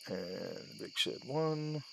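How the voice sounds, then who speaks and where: A man's voice: mid-range voice, lively intonation, extremely slow, creaky, a little hiss or hum. A man giving casual let's-play commentary, talking himself through each step in short, trailing phrases. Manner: narrates own steps aloud in short, trailing phrases. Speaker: gaming video host.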